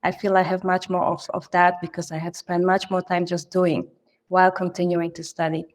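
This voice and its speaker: A woman's voice: lowish voice, little pitch movement, moderate pace. A woman from Poland, a native Polish speaker, talking earnestly in a podcast interview about her career, often restarting her words. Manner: earnest, reflective, frequent restarts. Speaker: guest, finance leader.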